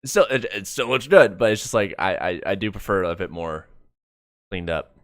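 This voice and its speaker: A man giving casual, halting live commentary as a streamer reviewing a comic, often repeating words mid-thought. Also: low voice, animated, fairly bright tone, no room sound, studio quality.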